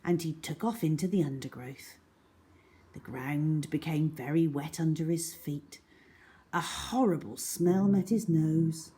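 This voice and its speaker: A woman narrating a children's story in an expressive, scripted read-aloud style. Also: low voice, unhurried.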